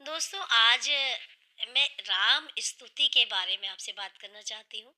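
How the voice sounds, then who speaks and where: A woman with a sore, hoarse throat, earnestly explaining a devotional hymn line by line and patiently restating each meaning. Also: fairly high pitch, animated, a little slow, bright, forceful tone. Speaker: devotional singer and channel host.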